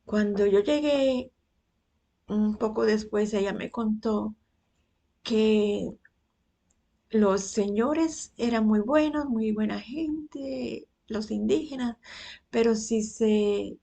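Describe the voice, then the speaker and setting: Cuban woman, 60s, faith testimony; husky voice, slow and unhurried, frequent pauses. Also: on the high side, mellow. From Cuba. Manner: unhurried, pausing often between phrases.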